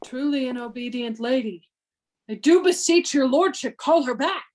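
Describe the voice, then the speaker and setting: Actress as noble envoy: high voice, formal, dignified courtesy, Shakespearean radio play. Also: female voice, normal speed, a little mellow, close to an everyday voice. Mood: dismayed. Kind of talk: Shakespearean radio drama acting.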